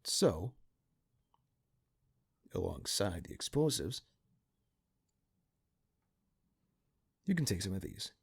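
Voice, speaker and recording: Man acting as low-voiced, offhand criminal accomplice. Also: very animated, creaky, husky, full character voice. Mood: matter-of-fact. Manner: casual, halting, offhand conspiratorial briefing. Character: cynical, pragmatic male criminal accomplice to a vigilante. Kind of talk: ASMR roleplay monologue.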